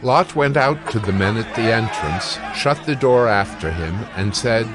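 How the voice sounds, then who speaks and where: A man's voice: lowish voice, lively intonation, a little slow, over music, crowd noise. A man narrating scripture as a scripted dramatized reading.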